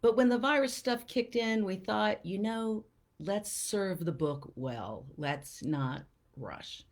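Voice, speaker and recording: A woman's voice: mid-range voice, expressive, slow pace. A woman who runs a small press, talking casually about a book and then reading a poem aloud, lingering over its lines. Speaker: poet and small press publisher, show host. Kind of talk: casual talk and poetry reading.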